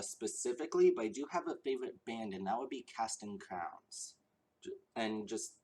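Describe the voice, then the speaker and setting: A young man answering viewer questions in a casual chat, earnest and eager, rambling with ums and restarts, a little out of breath. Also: lowish voice. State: slightly out of breath.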